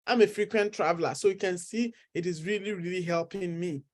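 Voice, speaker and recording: A man's voice: high voice, brisk, a little mellow. A middle-aged man with a Ghanaian accent, a study-abroad consultant earnestly encouraging viewers and repeating his key points. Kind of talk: persuasive talk to camera.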